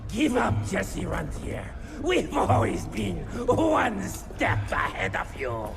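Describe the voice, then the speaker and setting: A man playing a taunting, theatrical male vampire villain in a video game, with loud music over him. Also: high voice, huge pitch swings, gravelly, exaggerated voice. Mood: mocking, menacing. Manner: mocking, drawn-out, theatrical delivery.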